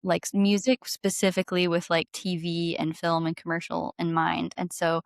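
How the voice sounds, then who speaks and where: Female, 20s, podcast guest. Slightly low pitch, dry close sound, gushing casual delivery. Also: monotone, normal speed, creaky, dry recording. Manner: bubbly, gushing, frequent "like". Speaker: guest singer-songwriter and violinist. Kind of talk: casual interview chat.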